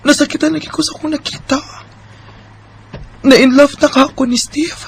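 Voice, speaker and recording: Woman acting a high-voiced lovestruck young woman. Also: steady tone, a little fast, gravelly. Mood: wounded, defensive. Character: young woman secretly in love with her best friend, teasing, easily stung. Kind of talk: Bisaya radio drama acting. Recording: faint background noise.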